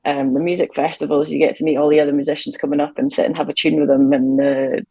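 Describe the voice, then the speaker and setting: Low, gravelly Shetland Scottish woman. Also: level tone, fast, dark tone, no room sound. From Shetland, Scotland. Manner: chatty, laughs easily, many ums. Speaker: sailor and fiddler, podcast guest. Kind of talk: casual interview chat. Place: hotel room on tour.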